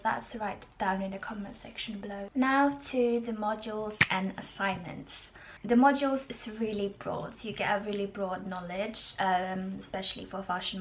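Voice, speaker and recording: A woman, giving a casual, informative vlog in a halting way with drawn-out pauses. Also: medium pitch, slight background noise, poor audio. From Norway. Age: 20s. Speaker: student video blogger.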